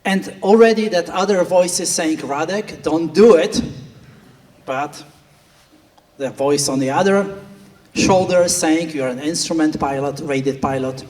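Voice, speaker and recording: A man's voice: a little high, a little slow, a little mellow. A middle-aged man with a Polish accent gives an earnest speech at a gala, telling a story with quoted inner voices. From Poland. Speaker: flight instructor giving a speech. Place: large banquet hall. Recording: distant speaker in a reverberant hall.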